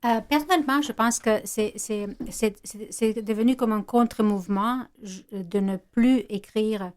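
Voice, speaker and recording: A woman's voice: a little high, unhurried. Hesitant woman, French not her first tongue. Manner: hesitant, searching for words with many restarts. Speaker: publisher and former teacher. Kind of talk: podcast panel discussion.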